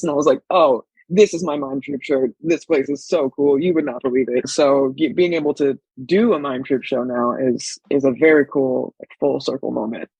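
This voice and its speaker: A woman's voice: rumbling low, darkish tone, breathy edge, no room sound, low-quality recording. A woman in her twenties with a Californian accent, chatting casually as an interview guest and telling stories informally. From San Francisco Bay Area, United States. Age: 20s. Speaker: guest, stage actor and arts educator.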